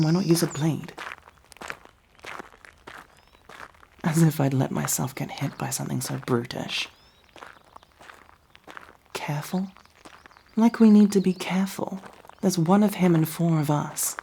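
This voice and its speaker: A woman playing a proud but nervous young elf rogue in an ASMR roleplay, with wry, halting delivery. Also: low voice, vocal fry, warm tone, a little hiss or hum, exaggerated voice. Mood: cocky, scornful.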